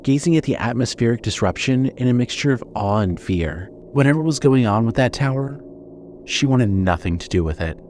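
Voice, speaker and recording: A man narrating a scripted horror story as storyteller, measured and suspenseful. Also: medium pitch, lively intonation, darkish tone, a little hiss or hum.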